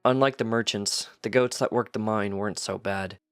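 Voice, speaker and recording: A man's voice: fairly low pitch, steady tone, normal speed, smooth, no echo, clean studio sound, soft background music. A man reading a piece of fiction aloud as scripted narration.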